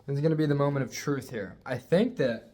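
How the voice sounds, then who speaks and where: A man's voice: medium pitch, fast talker, a little mellow. A young man vlogging his workouts, chatty and self-mocking, talking straight to viewers. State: congested, clearing throat. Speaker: fitness vlogger. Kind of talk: casual vlog narration.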